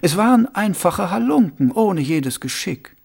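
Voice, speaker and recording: A man voicing a suave, cool-headed male city ruler and former assassin who talks in a smooth, measured, faintly amused way, a little higher than the narration. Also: big pitch swings, a little mellow, heavily acted. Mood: silky, measured.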